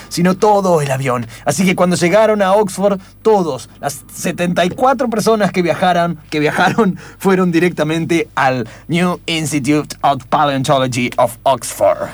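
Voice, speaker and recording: A man's voice: high voice, lightly acted. A man playing a nervous, fussy Argentine husband and storyteller in improvised comic radio theatre.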